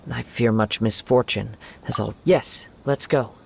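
A man playing a calm, thoughtful young samurai in a video game, speaking in a measured, gentle, formal way. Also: medium pitch, vocal fry, breathy edge, full character voice. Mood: calm, reassuring. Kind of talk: video game dialogue acting.

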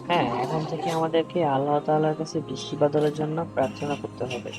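A man's voice: a little high, steady tone, brisk, natural voice. Earnest young student played by a man, music behind. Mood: earnest, instructive. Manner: earnest, explanatory. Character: friendly, earnest male student explaining religion to his friend. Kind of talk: scripted cartoon dialogue.

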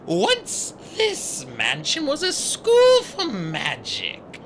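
A man reading an audiobook voices a haughty, aloof goddess of magic who speaks slowly and imperiously. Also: extremely high pitch, huge pitch swings, background noise, pitched above the performer's own voice, exaggerated voice. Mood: wistful.

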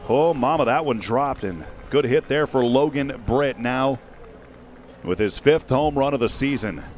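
A man's voice: mid-range voice, a little slow, darkish tone, slight background noise. Male baseball play-by-play announcer outdoors. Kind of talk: live sports commentary. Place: outdoors at a windy ballpark. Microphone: headset boom mic.

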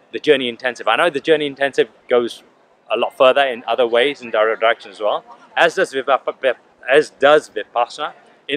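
A man's voice: medium pitch, a bit bright. A man in his forties speaking earnestly to the camera, gesturing as he talks, outdoors in a park. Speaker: vlogger. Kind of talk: casual reflective monologue. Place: park lawn outdoors by a statue. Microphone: camera at close range.

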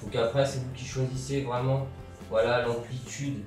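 A man's voice: mid-range voice, moderate pace. Young man, casual DIY tutorial, very echoey bare room, distant camera mic, faint guitar behind. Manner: relaxed, talks while working, many fillers and "hop, voilà". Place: bare room with grey wall, indoors. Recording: roomy echo, distant mic, faint music.

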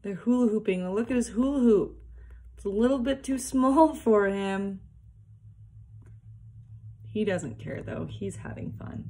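A young woman reading a picture book aloud for small children, deliberately, with casual asides. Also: mid-range voice, mellow, slight background noise. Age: young adult. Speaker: early years worker reading a picture book. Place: small plain indoor room, seated at a desk. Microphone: phone or device propped at arm's length.

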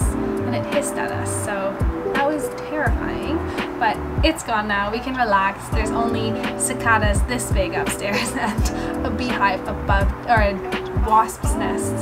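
Young woman, slightly high voice, casual travel vlogger, enthusiastic, chatty, handheld camera, loud music underneath. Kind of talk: casual house-tour vlog. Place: holiday cottage, partly outdoors.

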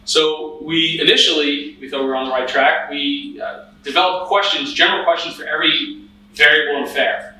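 A man's voice: on the high side, sharp tone, slight background noise. A man in his thirties giving a conversational conference talk as a cyber risk analyst in a hotel meeting room, with frequent restarts and fillers. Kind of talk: conference presentation. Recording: echoey room from a distance.

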